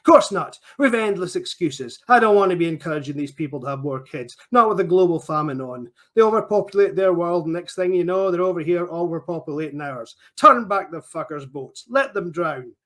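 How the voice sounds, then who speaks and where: A man's voice: a little high, lively intonation, brisk, hardly any pauses, fairly bright tone. A man voicing a bitter male killer who sneers and rants in a mocking way. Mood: ranting. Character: bitter male killer ranting in a sunken wreck.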